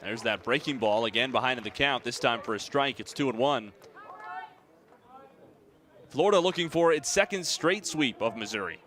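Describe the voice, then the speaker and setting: A young American man doing live sports play-by-play, describing the action in crisp detail over venue noise. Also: medium pitch, expressive, normal speed, pauses often, a bit bright. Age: young adult. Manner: crisp detailed descriptions, voice raised on big plays. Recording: live game ambience, clear background noise. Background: sports venue sounds.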